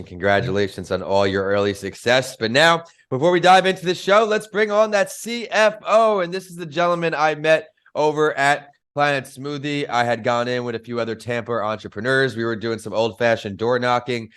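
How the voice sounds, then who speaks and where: Podcast host guy, smooth clean voice, upbeat intro reading. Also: mid-range voice, a little fast, few pauses, a bit bright. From northern United States. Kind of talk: podcast interview hosting.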